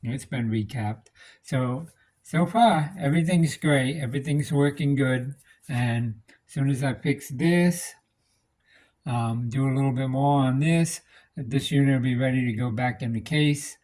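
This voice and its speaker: A man's voice: mid-range voice, unhurried, darkish tone. Casual man talking through a hands-on repair. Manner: plain-spoken, practical, thinking aloud. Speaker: electronics restorer presenting a repair demonstration. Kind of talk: casual unscripted demonstration.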